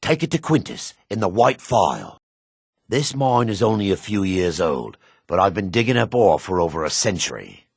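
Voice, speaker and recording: An actor playing a jaded, sardonic male dark elf in a fantasy video game, with a husky voice. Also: low voice, big pitch swings, normal speed, no room sound, studio quality, exaggerated voice. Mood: weary. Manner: sardonic, disdainful. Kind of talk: video game character voice acting.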